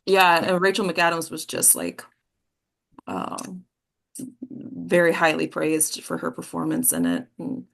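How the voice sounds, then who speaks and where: A woman's voice: low voice, sing-song, takes it slowly, vocal fry, a bit bright. A woman chatting informally after a play reading, hesitant and often trailing off. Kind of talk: casual post-reading discussion.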